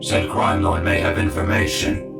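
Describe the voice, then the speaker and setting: Very deep, gravelly junior tech-priest, played by a man. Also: level tone. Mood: insistent. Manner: respectful, measured, persistent. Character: earnest, deferential junior male tech-priest investigator. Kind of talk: audio drama acting. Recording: echoing voice under loud music.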